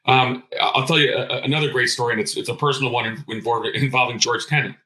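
Fast-talking middle-aged man, bright voice. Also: mid-range voice, steady tone. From born in Greece. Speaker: interview guest, retired intelligence officer. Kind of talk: personal storytelling in an interview.

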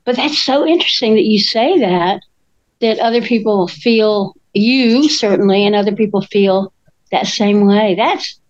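Slow, reflective woman in her sixties. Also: mid-range voice, no room sound, lo-fi sound. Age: 60s. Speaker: co-host. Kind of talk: casual chat.

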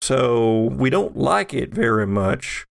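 A man's voice: medium pitch, very animated, creaky, full character voice. A man voicing a polite, folksy doppelganger in a tabletop game, rambling and hesitant as he goes. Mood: hesitant, explaining. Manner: halting, rambling, folksy, apologetic. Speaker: game master.

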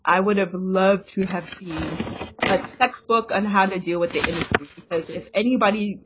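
A woman co-hosting a podcast, giving measured, analytical opinions in casual conversation. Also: medium pitch, steady tone, heavy background noise. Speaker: podcast co-host. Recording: dull lo-fi recording.

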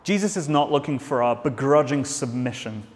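A man's voice: medium pitch, expressive, normal speed, long reverb tail. A man preaching a sermon in a church, earnest, with frequent restarts and fillers.

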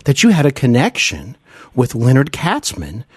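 An American man hosts a conversational interview. His voice sits at an average pitch and he phrases things earnestly, with some hesitation. The recording is dry, with little room sound. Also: huge pitch swings, moderate pace, husky, no echo. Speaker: podcast host, interviewer.

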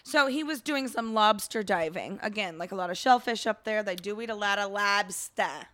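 A woman co-hosting a casual comedy podcast, telling stories and slipping in deadpan joke bits. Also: medium pitch, moderate pace, dry recording. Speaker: podcast co-host.

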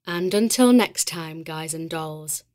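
A woman's voice: low-pitched, slow pace, a little mellow. A woman playing an arch, breezy host of a spooky mystery podcast, addressing listeners directly in scripted narration. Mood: wry, intrigued.

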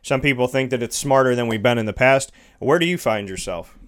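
Smooth-voiced male interview host. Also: medium pitch, fairly quick. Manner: rambling, heavy on "you know" fillers. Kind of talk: casual interview hosting.

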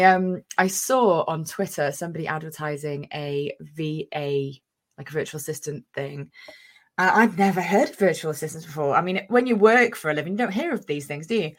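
A chatty woman in her thirties from North Wales talks as a podcast guest, rambling along with plenty of fillers. Her voice sits somewhat low. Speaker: guest, business owner. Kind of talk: casual interview chat.

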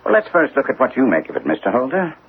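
An actor playing a composed, analytical gentleman detective in a classic radio drama, speaking in a deliberate, questioning way. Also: mid-range voice, wildly swooping pitch. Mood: incisive, measured. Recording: tinny, noisy old radio recording.

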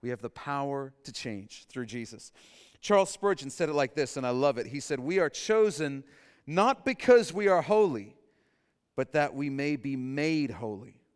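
Guy preaching in a big echoey church, smooth clear voice, keeps repeating the big lines. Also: mid-range voice, expressive, moderate pace. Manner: emphatic, repeats key phrases, drops to soft emphasis. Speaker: pastor. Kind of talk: sermon. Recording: long reverb in a large hall.

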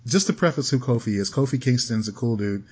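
A man from the American Midwest co-hosting a casual podcast, laughing often as he wanders through a long explanation. His voice sits at an ordinary middle pitch. The audio is slightly muffled and lo-fi. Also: fast talker, darkish tone, husky. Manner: laughs often, rambling storyteller. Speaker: podcast co-host.

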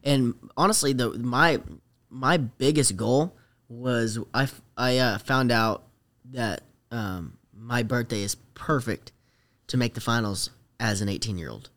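A man's voice: mid-range voice, a little slow, fairly bright tone. Young man, casual and rambling. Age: late teens to young adult. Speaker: rodeo rider, podcast guest. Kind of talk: casual podcast chat.

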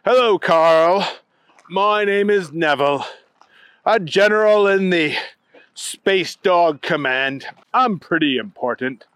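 A man's voice: high voice, takes it slowly, a bit bright, clear, resonant tone, dry recording. A man voicing a pompous, easily disgusted alien space dog general in a children's story, talking in self-important, indignant declarations.

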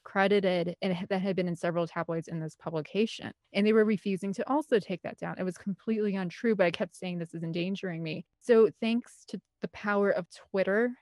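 A woman's voice: medium pitch, steady tone, brisk, few pauses, a little mellow, no echo. A woman giving an interview as a guest, telling personal stories in a candid, rambling, earnest and conversational way. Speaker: interview guest, film composer and director.

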